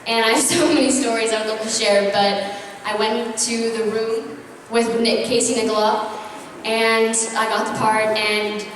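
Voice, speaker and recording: A woman's voice: a little high, level tone, normal speed, recorded from a distance, slight background noise. A young girl from Atlanta, Georgia, answering eagerly and at length on a panel. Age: teen. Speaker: child actress panelist. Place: large convention hall. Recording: echoey hall from afar.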